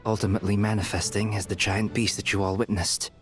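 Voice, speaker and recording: An actor playing a learned scholar who explains things calmly, like a lecture. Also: male voice, fairly low pitch, fast, soft background music, close to an everyday voice. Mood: lecturing.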